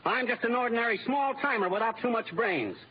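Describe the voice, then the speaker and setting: A high, excitable American voice as a meek, indignant small-timer with a conscience. He protests plainly and swings widely in pitch, in old radio drama style. The recording is dull and noisy, like an aged broadcast. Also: fairly quick. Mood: resolute. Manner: stammering, protesting, plain-spoken. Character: meek, flustered, honest small-time commissioner, indignant husband. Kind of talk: 1940s radio comedy-drama acting.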